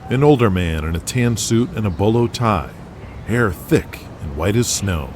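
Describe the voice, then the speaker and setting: A man's voice: on the low side, expressive, unhurried, a little mellow, slight background noise. A man narrating a scripted audio drama as a dramatic storyteller. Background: music.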